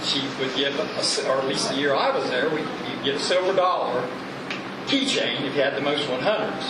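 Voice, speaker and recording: A man informally telling an amused, animated anecdote as a former pupil. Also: mid-range voice, normal speed. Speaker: former pupil sharing a memory. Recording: very echoey, noisy, distant microphone.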